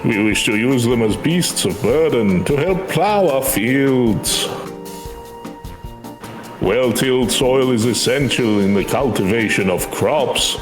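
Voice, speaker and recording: A man's voice: mid-range voice, lively intonation, slow pace, roomy sound, music in the background. A man voicing an earnest, grateful village farmer in a game, with polite, formal speech.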